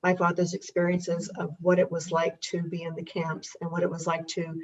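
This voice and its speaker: An older woman with a husky voice, talking reflectively in conversation as a guest about her family's history. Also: lowish voice, monotone, moderate pace. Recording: distant, dull muffled microphone.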